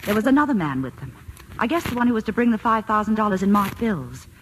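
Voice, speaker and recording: A woman's voice: mid-range voice, sing-song, fast, natural voice. An actress playing an anxious, earnest married woman warning an old friend, in a hesitant, confiding 1940s radio drama style. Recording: hissy vintage radio broadcast.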